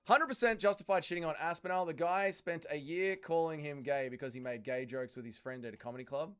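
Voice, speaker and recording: A man's voice: on the high side, a little fast, a bit bright, clean tone. A young man, hosting a casual livestream and bluntly arguing with chatters he reads out. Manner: heated, blunt, calls chatters trolls. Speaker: livestream host reading chat.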